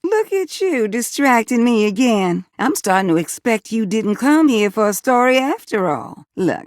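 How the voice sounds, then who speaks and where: A woman's voice: a little high, big pitch swings, normal speed, no room sound, clean studio sound. A woman voicing a flirty, teasing, gossipy household appliance in a video game, with a Southern US accent and sweet-talking manner. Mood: flustered, teasing. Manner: sweet-talking, teasing, drawling terms of endearment. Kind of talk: video game character voice acting.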